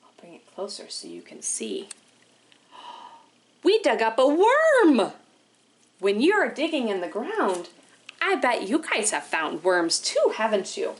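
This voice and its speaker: A woman leading an interactive storytime for young children, animated and sing-song, asking questions with exaggerated wonder. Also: fairly high pitch, slow pace, long pauses. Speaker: children's librarian hosting storytime.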